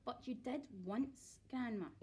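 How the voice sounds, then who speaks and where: A woman's voice: fairly high pitch, a little slow, mellow, breathy edge. A woman voicing a polite, put-upon eight-year-old boy, meek and earnest, in a storybook reading. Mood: protesting.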